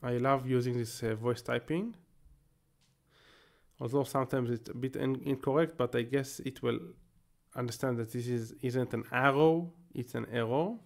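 Israeli man with a gravelly voice. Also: medium pitch, moderate pace. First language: Hebrew. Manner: casual, thinking aloud while working. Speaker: tech YouTuber. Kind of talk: screen-share tutorial.

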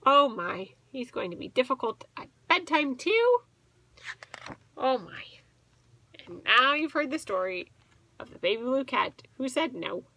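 Slow, animated woman reading a children's story. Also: sits high. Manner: expressive, child-directed, with questions to listeners. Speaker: teacher reading stories to children. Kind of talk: children's storybook read-aloud. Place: small indoor room with bookshelves.

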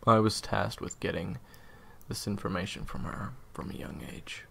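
Low, gravelly, guarded younger brother played by a man. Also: sharp tone, heavily acted. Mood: reluctant, confessional. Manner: terse, evasive, halting delivery. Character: guarded, evasive younger brother turned betrayer. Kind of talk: audio roleplay drama acting.

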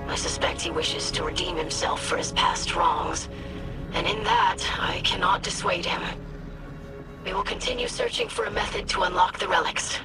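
A woman voicing an earnest, dutiful young female alien scholar in a video game, with formal, precise diction and a breathy voice. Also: on the low side. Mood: resigned, dutiful. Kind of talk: scripted video game dialogue. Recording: music under the voice.

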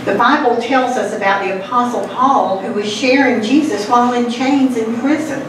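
A woman's voice: a little high, normal speed. A woman hosting a church puppet show, giving an evangelistic talk to an audience. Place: hall indoors, recorded from the audience. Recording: distant, echoey, noisy room recording.

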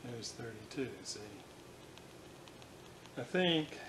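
Man, medium pitch, casual repair walkthrough, halting short remarks, thinking aloud, very noisy home recording. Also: expressive. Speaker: radio restorer. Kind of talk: casual hands-on repair demonstration. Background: loud background noise and ambience.

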